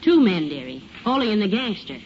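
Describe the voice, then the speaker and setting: An actress with an American accent playing a wry, good-natured housewife with folksy teasing in 1950s radio comedy. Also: female voice, mid-range voice, very animated, a little fast, a little mellow, natural voice. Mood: patient. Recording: dull, noisy old radio recording.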